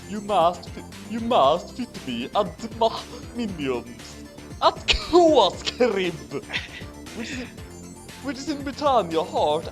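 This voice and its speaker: A person reading a fanfic aloud with comedic drama and casual asides, stumbling over misspelled text. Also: mid-range voice. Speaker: fanfic reader.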